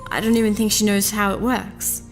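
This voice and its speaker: A woman playing a calm, knowing princess, an inner voice speaking with measured patience in a fantasy audio drama. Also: medium pitch, natural voice. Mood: calm, explanatory. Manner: measured, patient, gently insistent. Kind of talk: full-cast fantasy audio drama acting. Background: music.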